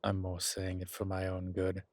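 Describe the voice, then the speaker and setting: A man playing a weary, wry vampire elder in an audio roleplay, speaking in a slow, sardonic drawl with formal, old-fashioned wording. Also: low-pitched, monotone, a little mellow, no echo, natural voice. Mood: wry, resigned. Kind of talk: dramatic audio roleplay acting.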